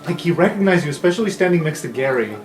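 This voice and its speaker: A man's voice: on the high side, animated, racing. A man narrating a tabletop roleplaying game as game master, casual and improvised. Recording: roomy sound with music behind.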